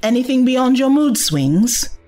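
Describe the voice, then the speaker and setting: Woman playing an earnest, animated sidekick. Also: high voice, unhurried, soft background music. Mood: earnest, reassuring. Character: earnest, reassuring young female companion of an investigator. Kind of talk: audio drama acting.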